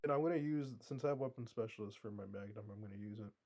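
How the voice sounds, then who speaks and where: A man takes his turn in a tabletop game, hedging and stalling with fillers before he acts. His voice is mellow and dark, and he drops in tough-guy movie lines. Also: on the low side, fast. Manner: hesitant, lots of fillers, quotes action-movie lines. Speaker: player. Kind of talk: casual tabletop play.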